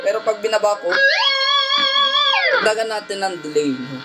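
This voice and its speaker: A man's voice: sits high, expressive, normal speed. A man giving a casual guitar gear demonstration, often tagging his phrases with a short check-in word. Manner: punctuates phrases with a tag word seeking agreement. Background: electric guitar playing through effects.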